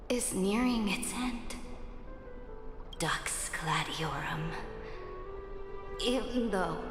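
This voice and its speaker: Slow, solemn, imperious empress. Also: female voice, medium pitch. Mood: fading, solemn. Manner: solemn, deliberate, regal. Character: proud, imperious female emperor and conqueror facing death. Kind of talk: dramatic game cutscene acting. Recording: echoey hall reverb, music behind.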